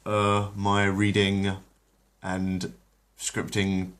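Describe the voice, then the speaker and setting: Deep-voiced man reading a story. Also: monotone, a bit bright. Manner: hesitant, with many pauses when off-script. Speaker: storyteller, channel host. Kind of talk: reading a retold episode aloud, then casual chat.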